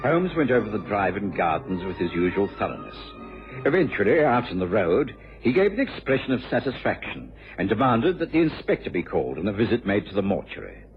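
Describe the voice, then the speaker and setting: Loyal doctor companion, earnest, gentlemanly, radio drama, lively pitch movement, faint music behind. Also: male voice. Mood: composed, storytelling. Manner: measured, expressive storytelling, easily flustered. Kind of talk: old-time radio drama narration and acting. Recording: muffled old radio recording.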